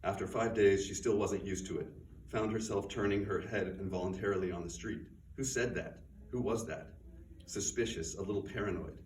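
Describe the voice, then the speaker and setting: A man with a very low voice gives a measured, quiet reading of his own fiction. He stands back from the microphone in a bookstore, so the sound is roomy and distant. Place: bookstore event room. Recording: roomy, distant, faint noise.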